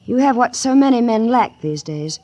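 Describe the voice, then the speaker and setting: A woman playing a bold, cool, flirtatious femme fatale in 1940s radio style, husky, arch and self-possessed. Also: on the high side, expressive, moderate pace, a little mellow, lightly acted. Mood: seductive.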